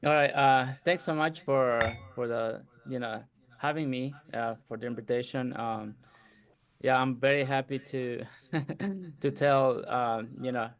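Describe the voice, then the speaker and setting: A middle-aged man from Ecuador whose speech carries a light Ecuadorian Spanish accent. He tells his story in a loose, conversational way and often drops in "you know" and short laughs. His voice sits at an ordinary middle pitch. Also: measured pace, clear, resonant tone. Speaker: guest entrepreneur. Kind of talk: casual interview storytelling.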